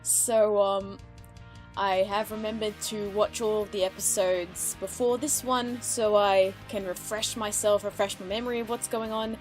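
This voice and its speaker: A woman's voice: medium pitch, steady tone, a little slow, darkish tone. A woman giving casual, chatty, amused reaction commentary. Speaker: reaction commentator, fan artist and voice actor. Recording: music behind the voice. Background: cartoon soundtrack with music.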